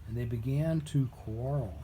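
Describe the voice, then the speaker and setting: A man reading a storybook aloud as a father would, with deliberate, emphatic storytelling. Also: medium pitch, mellow, slight background noise.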